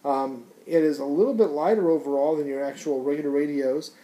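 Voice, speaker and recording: Casual rambling man with a mellow voice. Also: a little high, brisk, rough recording. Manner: rambling, conversational, slangy. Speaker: product reviewer. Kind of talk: casual unscripted product review.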